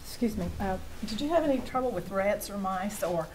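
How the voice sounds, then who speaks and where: A woman's voice: medium pitch, expressive, brisk, rough recording. A woman at a public meeting asking conversational questions as a board member. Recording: meeting room with crowd murmur.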